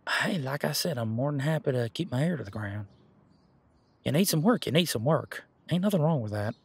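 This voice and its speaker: A man playing an easygoing, protective werewolf bodyguard in a roleplay audio drama, chatting in casual, halting, folksy phrases. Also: medium pitch, animated, gravelly, breathy edge. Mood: encouraging, upbeat. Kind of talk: roleplay audio drama, conversational monologue to listener.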